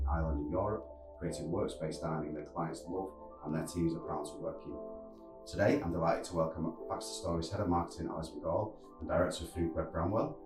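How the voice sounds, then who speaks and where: A deep-voiced man hosts a podcast with a brisk, upbeat presenter's delivery. Music plays clearly underneath, and the room gives an echoey sound. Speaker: podcast host. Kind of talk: scripted intro then casual interview. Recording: roomy echo with music behind.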